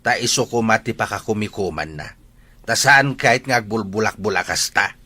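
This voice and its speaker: A man's voice: on the low side, normal speed, bright, forceful tone, no room sound. A man acting a grumbling, exasperated old village father in an audio drama, with an Ilocano accent. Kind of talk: amateur Ilocano radio-style comedy drama acting.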